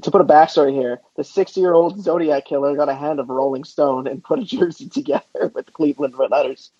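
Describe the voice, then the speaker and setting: A man's voice: a little high, fairly quick, dark tone, slightly rough, no echo, muffled recording. A man co-hosting a casual sports podcast, bantering in an opinionated, incredulous and sarcastic way. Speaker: podcast co-host.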